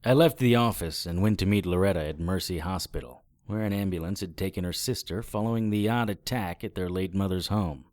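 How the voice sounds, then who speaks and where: An actor playing a tough, wry private eye in a noir audio drama, with a deadpan delivery. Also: male voice, deep voice, brisk, hardly any pauses, dry recording, studio quality, close to an everyday voice.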